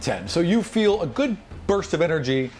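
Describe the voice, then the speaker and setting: A man's voice: a little high, very animated, moderate pace, darkish tone. A man narrating a tabletop fantasy game as game master, conversational, often repeating words and voicing sound effects.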